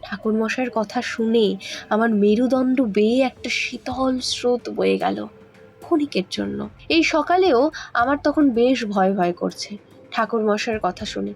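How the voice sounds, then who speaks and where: A woman playing an awed, curious young woman who narrates a horror story in the first person, expressive and earnest. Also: a little high, a little slow, creaky, slightly rough, soft background music, exaggerated voice. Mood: uneasy narration.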